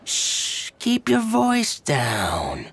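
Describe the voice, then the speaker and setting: A sly back-alley fixer for a game, secretive and hushed, slow, with big swoops in pitch. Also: male voice, wildly swooping pitch, creaky, soft background music, exaggerated voice. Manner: hushed and conspiratorial, then eager. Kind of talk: video game voice acting.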